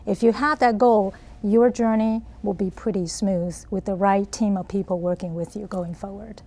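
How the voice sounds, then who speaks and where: A woman's voice: mid-range voice, fairly even intonation, normal speed, dark tone. A middle-aged woman from Taiwan with a Taiwanese accent, earnestly explaining her ideas as an interview guest. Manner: earnest, explanatory, frequent laughs. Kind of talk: interview answers.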